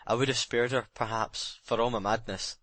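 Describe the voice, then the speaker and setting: A man's voice: medium pitch, fairly even intonation, moderate pace, a bit bright, dry recording, natural voice. A man playing a jealous, haunted sailor giving a bitter, intense murder confession in a dramatic audiobook reading. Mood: grim, agitated. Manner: intense dramatic confession, plain working-man's phrasing.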